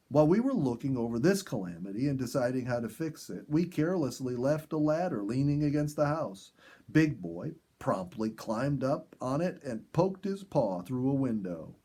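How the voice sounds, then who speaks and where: A man reading a story aloud as a narrator, with animated delivery and dramatic pauses and emphasis. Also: medium pitch, husky. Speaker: audiobook reader and story host.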